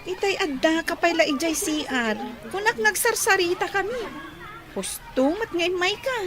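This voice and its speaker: A woman's voice: extremely high pitch, expressive, moderate pace. An actress playing a lovestruck, dreamy, giggly girl in an Ilocano radio drama, with an Ilocano accent. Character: lovestruck, giggly girl pining after a young man. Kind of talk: Filipino radio drama acting.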